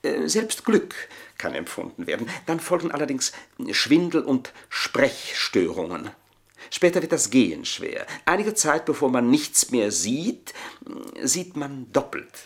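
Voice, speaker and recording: An actor in a German radio play playing a scheming, gloating manservant with a pompous, mock-deferential, savouring delivery. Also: male voice, mid-range voice, big pitch swings, measured pace, gravelly. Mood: ominous, gloating.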